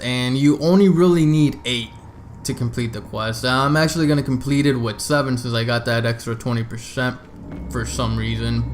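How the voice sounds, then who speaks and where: A man's voice: medium pitch, a little hiss or hum. A man narrating a video game walkthrough in an informal, easygoing, explanatory way. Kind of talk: casual game walkthrough commentary.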